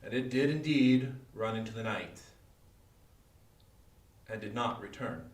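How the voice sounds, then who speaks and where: Guy telling a scary folktale, slow n deliberate w long dramatic pauses, echoey distant mic. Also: on the low side. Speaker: storyteller. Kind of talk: dramatic horror folktale narration. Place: indoor room, live online performance. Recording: echoey room, distant microphone.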